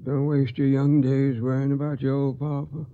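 A man's voice: mid-range voice, flat delivery, normal speed, lightly acted. An actor playing an ailing, stubborn old father in a radio drama, weak but affectionate. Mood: frail, tender. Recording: slightly muffled radio recording.

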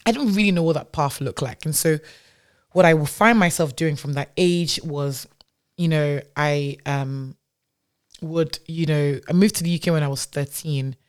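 A woman's voice: low voice, moderate pace, clear, resonant tone. A woman who grew up in Nigeria, a tech founder talking as a podcast guest in a chatty way, with many fillers and restarts. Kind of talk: casual interview storytelling.

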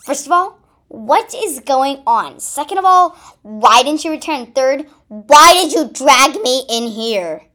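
A woman's voice: high voice, animated, slow, bright, forceful tone, pitched above the performer's own voice, full character voice. A woman voicing a quarrelsome younger sister animal in a storybook, emphatic and indignant. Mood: confused, demanding. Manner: emphatic, exclaiming delivery.

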